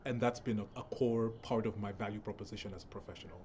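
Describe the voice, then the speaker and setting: A man's voice: fairly low pitch, fast, highs cut off. A young man from Kenya gives a recorded talk to an event audience, explaining things and repeating phrases for emphasis. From Kenya (Nairobi). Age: young adult. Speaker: speaker, software professional. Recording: steady background noise.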